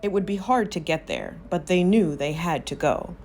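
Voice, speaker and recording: A woman narrating a children's story aloud, expressive, with drawn-out emphasis on key words. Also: on the low side. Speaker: storybook reader.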